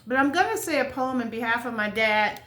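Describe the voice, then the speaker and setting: Casting: American woman, 50s, fairly high voice, candid personal storyteller, roomy echo. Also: expressive, fairly quick, a bit bright. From California, United States. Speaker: vlogger sharing life stories. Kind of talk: casual personal storytelling to camera. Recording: roomy, echoing sound.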